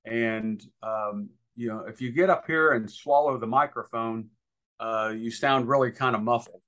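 A man's voice: mid-range voice, measured pace, a bit bright. A man giving informal instruction as a guest expert, talking in an emphatic, advisory way.

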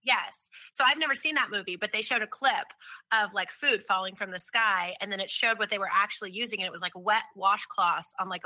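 Very bright-voiced woman chatting casually. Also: medium pitch, no room sound. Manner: informal, peppers speech with "like". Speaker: podcast co-host. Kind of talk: casual chat.

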